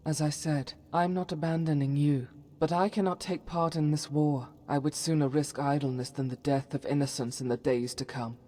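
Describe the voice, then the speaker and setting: A woman's voice: low-pitched, steady tone, normal speed, few pauses, dark tone, close to an everyday voice. A woman playing a devout, solemn holy warrior in a fantasy game, speaking in formal, archaic and earnest phrases. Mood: solemn, regretful. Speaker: voice actor for a game companion. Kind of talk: dramatic scripted fantasy game dialogue.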